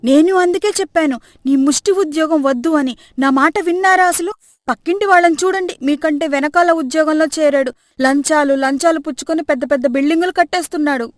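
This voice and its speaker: A woman's voice: high-pitched, a little fast, no echo, pitched above the performer's own voice, natural voice. A woman voicing a crafty, nagging young daughter-in-law in a comic moral story, with a dramatic, complaining manner. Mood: angry nagging. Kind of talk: animated moral story character acting.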